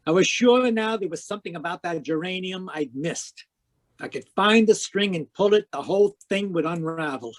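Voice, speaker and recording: A man playing a cynical private detective in 1940s radio noir style, wisecracking and deadpan as he talks and narrates. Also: high-pitched, moderate pace, close to an everyday voice. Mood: wry narration. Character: cynical hard-boiled male private detective.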